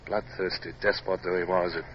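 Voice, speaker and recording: A muffled, noisy vintage radio drama. An actor plays a British naval captain with a low male voice, proud, formal and clipped in his delivery. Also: flat delivery, a little fast, natural voice. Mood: grave narration. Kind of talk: old radio drama acting and narration.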